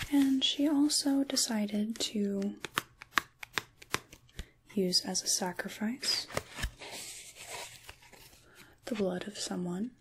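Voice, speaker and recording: An ancient witch acted by a woman murmurs in a slow, breathy half-whisper with some creak in it. She is kindly and wry, musing gently as in an ASMR fantasy role-play, over a faint background hiss. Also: medium pitch. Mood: calm, wry. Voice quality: breathy, whispery soft speaking.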